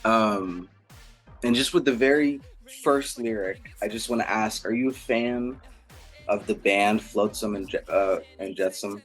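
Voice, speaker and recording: Low-voiced man interviewing over music. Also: a little slow. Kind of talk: music interview with prepared questions.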